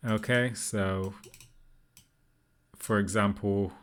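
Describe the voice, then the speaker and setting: Man, lowish pitch, informal explainer, tutorial presenter, tentative, easygoing, thinking aloud. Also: fairly even intonation, drawn-out words, slight background noise.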